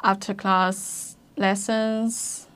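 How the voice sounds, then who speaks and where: A young woman whose first language is Chinese speaks as a podcast guest, taking her time in English at a slow, unhurried pace. She stops and restarts often, repeating words as she looks for the next one, in a voice of middling pitch. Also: level tone, fairly bright tone, smooth. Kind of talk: casual interview chat.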